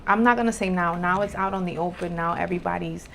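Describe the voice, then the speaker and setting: A woman's voice: on the low side, fast, vocal fry, slight background noise. A woman from the Republic, telling personal stories casually and emphatically, mixing in Spanish. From Dominican Republic, later New York City. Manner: informal, emphatic repetition, mixes in Spanish. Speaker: podcast guest, spiritual entrepreneur. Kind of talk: candid personal storytelling, casual.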